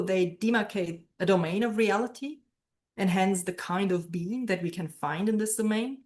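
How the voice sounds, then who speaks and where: A woman gives an academic explanation in an interview, as a professor would. Her voice sits at a medium pitch. She is thoughtful and explanatory, often posing questions to herself as she reasons.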